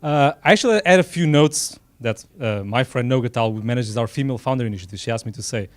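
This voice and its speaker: A man's voice: medium pitch, quick pace, dry recording. A middle-aged man from Portugal with a Portuguese accent, giving an unscripted, earnest speech at a dinner in a small room, with self-deprecating asides. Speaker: event sponsor speaker. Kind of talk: informal speech at a dinner event.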